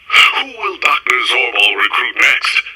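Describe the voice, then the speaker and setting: Old-timey radio serial announcer, super dramatic cliffhanger narration, forceful sharp voice. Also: male voice, medium pitch, big pitch swings, measured pace, husky, full character voice. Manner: dramatic, suspenseful. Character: melodramatic male serial announcer.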